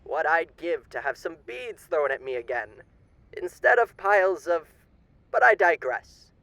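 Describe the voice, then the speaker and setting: A man's voice: fairly high pitch, very animated, a little slow, vocal fry, fairly bright tone, no echo, heavily acted, rough recording. A man playing a suave demon overlord with theatrical, old-fashioned genteel diction in an audio roleplay. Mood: jovial, teasing. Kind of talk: ASMR character roleplay.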